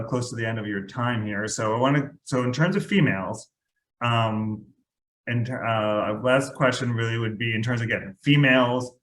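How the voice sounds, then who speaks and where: A man's voice: fairly low pitch, a bit bright, echoey room, slight background noise. A male podcast host asking a guest questions in a rambling, casual way. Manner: rambling, restarts questions, laughs lightly. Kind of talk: interview podcast, conversational questions. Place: New York.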